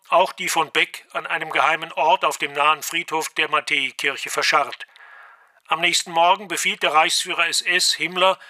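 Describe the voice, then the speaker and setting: Male narrator for scripted radio drama, very crisp bright tone, slightly high register.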